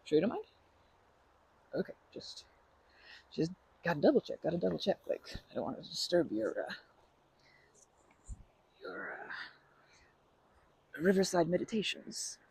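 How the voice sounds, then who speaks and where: A woman doing an ASMR roleplay as a polite stranger, talking softly with hesitant, rambling chatter and many restarts, sometimes dropping to a whisper. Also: fairly low pitch, big pitch swings, a little slow, vocal fry, long pauses, slight background noise. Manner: soft-spoken, drops to whispers.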